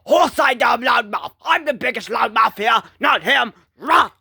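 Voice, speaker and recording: A loud, bossy cartoon bully, put on by an amateur performer for a comic skit. The voice is high and bright with a creaky edge, swooping around as it scolds and yells. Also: sing-song, a little slow, no room sound, clean studio sound, exaggerated voice. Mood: loud, combative. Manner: brash, scolding, given to yelling.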